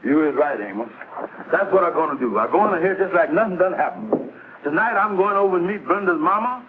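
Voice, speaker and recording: Casting: gullible middle-aged loafer, pompous malapropisms, lively swoops, tinny noisy old TV comedy sound. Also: male voice, sits high, brisk. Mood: genial, pompous. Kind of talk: 1950s television sitcom acting.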